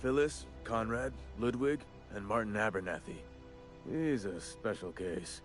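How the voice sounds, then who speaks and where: An actor playing a folksy, shady undertaker in a game, drawling and conspiratorial, with music behind him. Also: male voice, lowish voice, animated, unhurried. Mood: businesslike. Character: folksy undertaker man, middle-aged, shady and businesslike. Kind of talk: game dialogue. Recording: game audio with background music.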